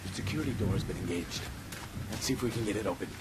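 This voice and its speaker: A male actor playing a hard-bitten, urgent government agent in a video game, brisk and given to cursing. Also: lowish voice, close to an everyday voice, low-quality recording.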